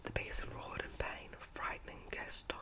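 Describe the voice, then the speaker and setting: Young woman in a hushed breathy whisper. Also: normal speed. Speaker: ASMR storyteller and channel host. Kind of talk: whispered ASMR, reading a storybook and chatting to viewers. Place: at home indoors. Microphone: close-up microphone.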